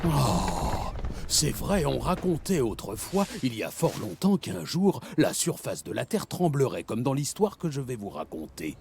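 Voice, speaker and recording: A man playing an old grandfatherly cartoon animal who tells legends to children in a slow, dramatic, gravelly way. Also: mid-range voice, sing-song. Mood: solemn, dramatic. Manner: slow dramatic storytelling with theatrical pauses. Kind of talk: animated series dub, storytelling. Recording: music behind the voice.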